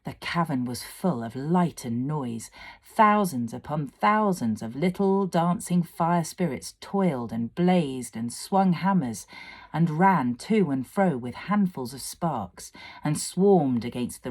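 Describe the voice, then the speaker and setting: Female storyteller for fantasy narration: lowish voice, unhurried, dramatic and expressive. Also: breathy edge.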